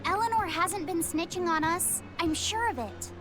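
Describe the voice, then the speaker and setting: A woman's voice: extremely high pitch, moderate pace, over music. A woman voicing an earnest, innocent young boy, shy and curious, in a video game. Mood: earnest, insistent.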